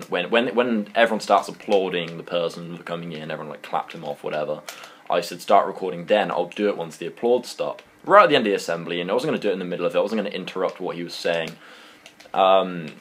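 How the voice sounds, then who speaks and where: A young British man telling a personal story in a casual, rambling, animated and slangy way. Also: sits low, slight background noise. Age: young adult.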